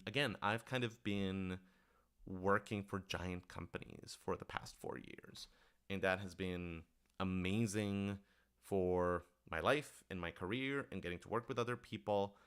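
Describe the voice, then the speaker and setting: A man's voice: lowish voice, fairly bright tone, smooth. A man speaking casually and earnestly on a question-and-answer livestream, enthusiastic and given to rambling asides. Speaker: writer-director answering audience questions.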